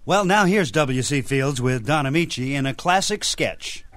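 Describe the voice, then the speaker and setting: A man's voice: mid-range voice, lively intonation, normal speed, a bit bright, no echo. A man giving scripted introductions as a programme host.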